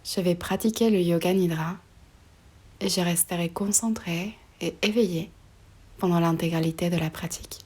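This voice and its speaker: A woman guiding a relaxation meditation in a soft, breathy voice often near a whisper, slow and soothing, with pauses between short phrases. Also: lowish voice, fairly even intonation. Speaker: yoga nidra meditation guide.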